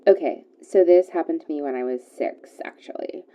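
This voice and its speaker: A woman's voice: deep voice, normal speed, phone-quality sound, lightly acted. A woman playing a chatty, guileless young girl who calls a radio show and rambles through a casual anecdote full of fillers. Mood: chatty, earnest. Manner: rambling, filler-heavy youthful talk. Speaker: caller.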